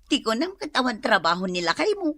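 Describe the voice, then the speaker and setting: A woman's voice: on the high side, very animated, fairly quick, bright, forceful tone, dry recording, exaggerated voice. A woman acting a teasing, gossipy co-worker in a radio drama. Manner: teasing, giggly.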